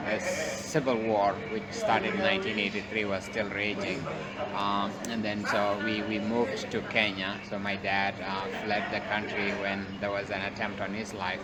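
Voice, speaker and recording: A man in his forties from South Sudan, a researcher giving measured interview answers with many restarts. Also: lowish voice. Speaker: guest researcher. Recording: loud crowd noise behind the voice.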